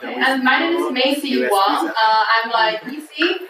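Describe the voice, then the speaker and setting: A woman's voice: high-pitched, a little slow, creaky. A young woman from China who leads a student trip, introducing herself hesitantly with many fillers in front of a group. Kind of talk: info session introduction. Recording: echoey room from far off, crowd behind.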